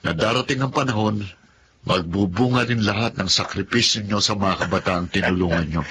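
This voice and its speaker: An actor as a caring grown son with a lowish voice. He is respectful and reassuring in a Filipino radio drama, with clear background noise around him. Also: brisk, lightly acted. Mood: teasing, attentive. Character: caring, teasing grown son of an old benefactor. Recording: noisy ambience behind the voice.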